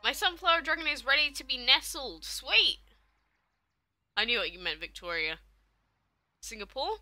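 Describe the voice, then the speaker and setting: Bright-voiced young woman streamer. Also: high-pitched, big pitch swings, moderate pace, no room sound. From England. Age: young adult. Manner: chatty, rambling, answers viewers one by one. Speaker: game streamer. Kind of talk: casual live-stream chat reading out chat questions.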